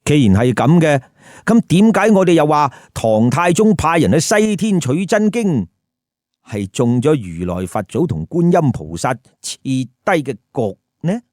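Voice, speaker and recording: A man's voice: on the high side, big pitch swings, measured pace, darkish tone, no echo, studio quality. A man with a Hong Kong Cantonese accent telling a story with colloquial, emphatic commentary and rhetorical questions. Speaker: storyteller host.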